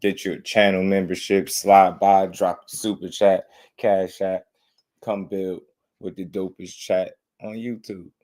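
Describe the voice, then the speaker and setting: A man hosting a casual boxing livestream, slightly hoarse, greeting viewers with loose slang before getting into blunt commentary. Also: low-pitched, flat delivery, unhurried, darkish tone. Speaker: boxing show host.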